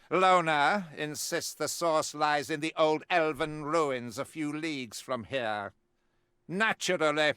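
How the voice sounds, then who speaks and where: Casting: a zealous, stern witch hunter in a fantasy game; tense bright male voice, measured delivery, theatrical and self-righteous. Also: a little high, clean tone, no echo. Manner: pompous, archaic, emphatic declamation. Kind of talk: theatrical scripted video game monologue.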